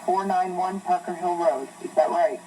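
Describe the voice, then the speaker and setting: A man's voice: high-pitched, normal speed, dark tone. A man playing a businesslike police dispatcher on a phone line, asking controlled, procedural questions in a horror drama. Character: businesslike police emergency dispatcher taking a call. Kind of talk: emergency call horror drama. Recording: noisy emergency phone call recording.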